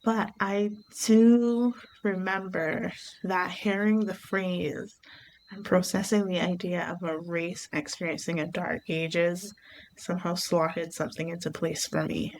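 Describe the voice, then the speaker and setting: A woman from Barbados hosting her own podcast, talking through her thoughts in an unhurried, reflective way. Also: lowish voice, level tone, darkish tone, slight background noise, poor audio. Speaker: podcast host. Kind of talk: reflective solo podcast monologue.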